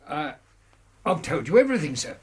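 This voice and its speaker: Husky, high-voiced manservant. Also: male voice, sing-song, fairly quick, a little mellow, heavily acted. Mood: defensive. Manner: formal, deferential, guarded. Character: deferential, guarded English manservant, a man. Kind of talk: radio drama acting.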